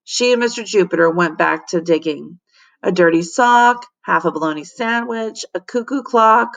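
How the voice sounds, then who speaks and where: A woman teacher reading a children's story aloud in an expressive storytelling style. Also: fairly low pitch, moderate pace, creaky, highs cut off. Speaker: teacher reading to her class.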